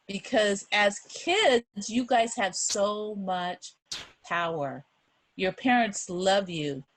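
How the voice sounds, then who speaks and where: A woman's voice: medium pitch, no echo, low-quality recording. A middle-aged woman talking as a guest reader to children and families, explaining things in an earnest, encouraging and emphatic way.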